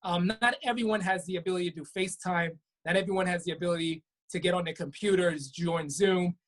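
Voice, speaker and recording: Woman physician guest, husky and a little low, earnest explainer who restarts often. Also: steady tone, fast talker. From Brooklyn, New York. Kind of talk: conversational interview answers. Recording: web talk show.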